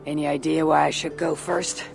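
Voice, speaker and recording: A woman's voice: sits low, level tone, normal speed, vocal fry. A woman playing a tough, dutiful Viking warrior leader in a video game, blunt and resolute. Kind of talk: video game cutscene acting. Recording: music under the voice.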